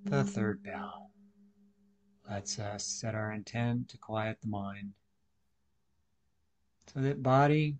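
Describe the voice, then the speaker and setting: Guy with a lowish voice doing guided meditation, deliberate, short phrases with pauses. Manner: deliberate, instructional, pausing between short phrases.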